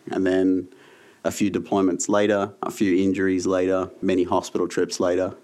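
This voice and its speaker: Australian male guest, 30s, low rough voice, reflective and unhurried. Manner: relaxed, reflective storytelling. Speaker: guest, yoga teacher and veteran. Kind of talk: casual interview.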